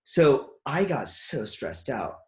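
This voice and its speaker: A man's voice: mid-range voice, sing-song, slow pace. A man hosting a casual interview show, telling stories from his acting work in a loose, rambling way full of "like" and restarts. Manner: rambling, heavy use of "like" and restarts. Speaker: podcast host and actor.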